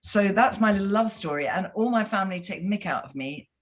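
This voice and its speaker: A woman's voice: mid-range voice, expressive, a little fast. A woman from the UK, a chief executive on an online panel, talking in a chatty way with many fillers. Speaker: CEO and panelist.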